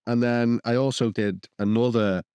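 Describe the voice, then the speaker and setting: A man's voice: mid-range voice, fairly even intonation, normal speed, darkish tone, clean tone, dry recording. A man co-hosting a podcast, rambling and restarting sentences as he lists the dishes he cooked. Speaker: podcast co-host. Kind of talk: casual chat.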